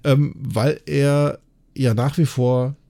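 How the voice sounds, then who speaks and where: A man's voice: mid-range voice, fairly even intonation, takes it slowly, warm tone. A man co-hosting a podcast in casual discussion, often ending his thoughts with little tag questions to seek agreement. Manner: conversational, frequent tag questions seeking agreement. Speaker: podcast host. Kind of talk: casual discussion and recap of an audio drama.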